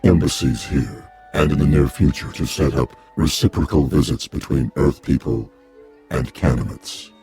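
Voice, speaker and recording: Towering alien envoy, radio drama; very low, mellow, formal and unhurried, music under. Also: male voice, slightly rough, heavily acted. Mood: solemn. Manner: solemn, measured formal address.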